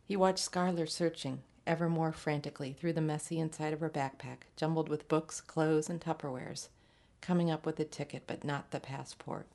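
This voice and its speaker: A woman reading a literary short story aloud in a measured, scripted narration. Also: low voice, steady tone, darkish tone. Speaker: story reader.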